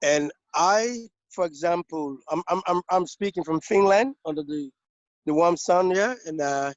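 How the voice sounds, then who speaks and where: A man from Liberia speaking up in a webinar, making a long, halting comment full of restarts and repeated words over a video call. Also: sits high, lively intonation, creaky, no room sound. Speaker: webinar participant. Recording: dull, lo-fi video call audio.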